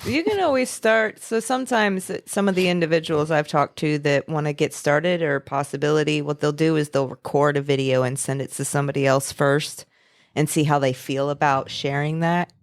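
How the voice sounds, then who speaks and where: A woman's voice: low-pitched, normal speed, dry recording, studio quality. A woman co-hosting a podcast in casual chat, talking frankly and affirming others often. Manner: frank, affirming with frequent mm-hmms.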